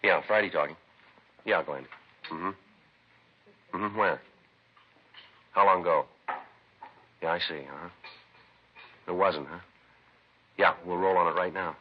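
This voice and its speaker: Very low-voiced deadpan police detective. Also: male voice, slow pace, long pauses, a little hiss or hum. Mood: terse, businesslike. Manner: deadpan, clipped, matter-of-fact. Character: stoic middle-aged male police detective sergeant narrating his case. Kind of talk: 1950s radio crime drama acting and narration. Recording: dull lo-fi old radio recording, music behind.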